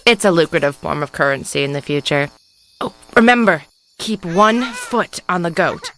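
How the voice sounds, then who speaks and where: A woman's voice: fairly low pitch, normal speed, a bit bright, slight background noise, low-quality recording. A woman playing an addled, babbling psychic mother in an audio drama, rambling cryptically in a theatrical way. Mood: loony, cryptic. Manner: rambling non sequiturs, theatrical delivery. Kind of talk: stage-style audio drama acting.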